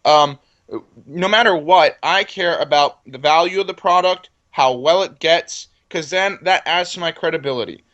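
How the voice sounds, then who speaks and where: A man in his twenties with a slightly high voice pitches his business in a conversational interview. He sounds sure of himself and a bit boastful, stressing points through repetition. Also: moderate pace, fairly bright tone, dull audio. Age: 20s. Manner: self-assured, boastful. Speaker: entrepreneur interview guest.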